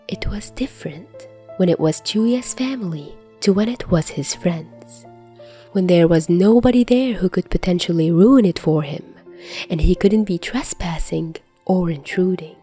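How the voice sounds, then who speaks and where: A woman's voice: on the low side, mellow. A woman reading fiction aloud in an audiobook narration style. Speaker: podfic narrator.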